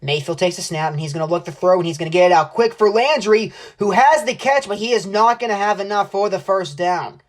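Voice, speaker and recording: A man's voice: high voice, a little fast, hardly any pauses. A young man giving play-by-play football commentary as a sportscaster. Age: young adult.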